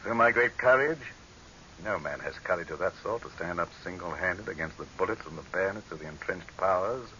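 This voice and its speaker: A hissy, dull 1930s radio horror recording carries a proud dictator trapped and facing death. His voice is low and forceful, and he speaks like a commanding orator, full of contempt for whoever hears him. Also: brisk. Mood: scornful.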